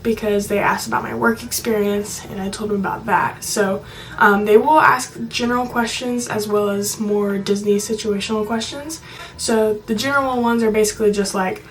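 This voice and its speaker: A woman's voice: medium pitch, recorded from a distance, rough recording. A young woman talking in a chatty, reassuring, informal way, giving casual advice to viewers. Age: young adult. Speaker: video blogger.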